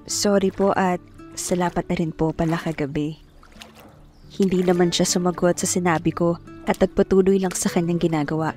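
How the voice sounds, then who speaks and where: A woman's voice: on the low side, steady tone, normal speed, dark tone, soft background music, natural voice. A young woman playing a shy, hardworking farm girl who narrates her own story in a radio drama, polite and earnest. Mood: shy, apologetic. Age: young adult. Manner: polite, hesitant when addressing others. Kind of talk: first-person radio drama narration and dialogue.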